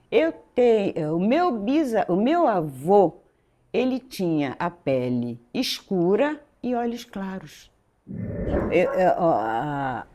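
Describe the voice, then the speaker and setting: A woman's voice: mid-range voice, dark tone. A woman in her seventies from Pernambuco, Brazil, telling her life story in an interview, meandering and correcting herself as she goes. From Recife, Pernambuco, Brazil. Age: 70s. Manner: meandering, self-correcting storyteller who acts out dialogue. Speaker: French teacher and school director, guest.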